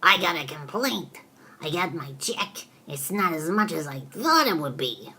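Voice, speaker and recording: Woman as a low, grumbling pirate crewman. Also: sing-song, a little slow, bright, forceful tone, slightly rough, heavily acted. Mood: complaining. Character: grumbling pirate crewman puzzled by his paycheck. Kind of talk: homemade storybook skit.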